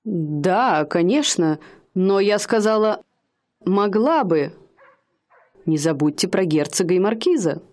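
An actress playing a haughty young woman posing as a high-society lady, speaking in an affected, aloof manner in a radio play. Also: lively intonation, takes it slowly, pauses often, a little mellow.

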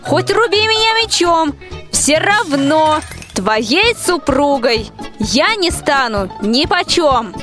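A woman as a high-voiced, defiant peasant wife. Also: very animated, takes it slowly, a bit bright, soft background music, exaggerated voice. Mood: firm refusal. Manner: spirited, declamatory. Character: defiant, loyal young peasant wife, sharp-tongued. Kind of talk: rhymed folk-tale radio play, amateur school production.

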